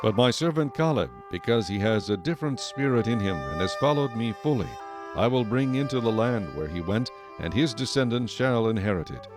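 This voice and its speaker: A man playing a stern, majestic God in a dramatized scripture recording, solemn, authoritative and deliberate. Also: medium pitch, expressive, close to an everyday voice. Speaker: narrator and voice of the Lord. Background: music.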